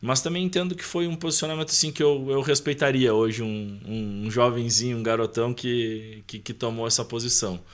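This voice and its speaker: A man's voice: medium pitch, steady tone, fairly quick, few pauses. A man telling personal stories in a casual, conversational way as a podcast guest, often seeking agreement with little tag questions. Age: adult. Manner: conversational, punctuates with tag questions and quotes remembered dialogue.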